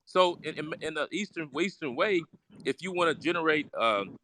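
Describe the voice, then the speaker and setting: A man's voice: a little high, animated, moderate pace, pushed and bright, slight background noise. A man in casual live-stream chat, rambling and reflective, laughing often. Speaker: doctor.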